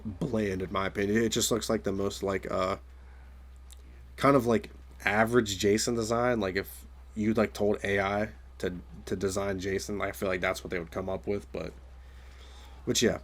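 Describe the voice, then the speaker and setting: A young man with a raspy voice from a recent illness, talking casually to his viewers in a rambling way with many fillers. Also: fairly low pitch, fairly even intonation, moderate pace. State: raspy voice from getting over illness. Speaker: gaming video creator. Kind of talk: casual solo commentary.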